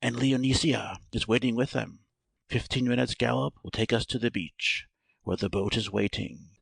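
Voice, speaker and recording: Male actor as gentleman adventurer, heavy gravel, husky, dry close sound, audiobook drama, teasing. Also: mid-range voice, normal speed, no room sound. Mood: urgent. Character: gleeful mimicking gentleman adventurer with rifle-toting rescuers.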